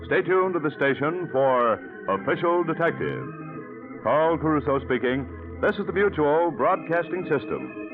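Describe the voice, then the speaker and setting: A man's voice: fairly high pitch, lively intonation, measured pace. A man working as a radio announcer, reading scripted copy in a formal, emphatic 1940s broadcast style. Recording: tinny vintage radio with faint music.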